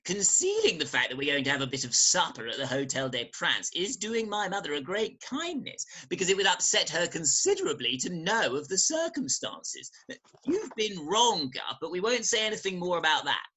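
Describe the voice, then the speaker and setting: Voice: medium pitch, sing-song, moderate pace, bright, forceful tone, slightly rough, exaggerated voice, rough recording. An actor playing a breezy, scheming upper-class British youth, wheedling and cocky in a stage farce. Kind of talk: theatrical staged reading of Victorian farce. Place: home, recorded remotely.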